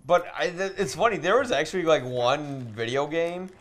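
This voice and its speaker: A man's voice: fairly high pitch, expressive, normal speed, fairly bright tone. A man in a casual, informal podcast chat, talking loosely while playing a video game. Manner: informal, swearing. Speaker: podcast co-host.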